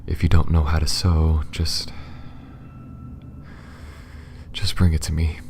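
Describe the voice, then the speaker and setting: A man playing a calm, reassuring alien spaceship pilot in an ASMR roleplay, speaking softly and haltingly, often near a whisper. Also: rumbling low, slight background noise. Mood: apologetic, explaining. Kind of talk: ASMR roleplay addressed to the listener.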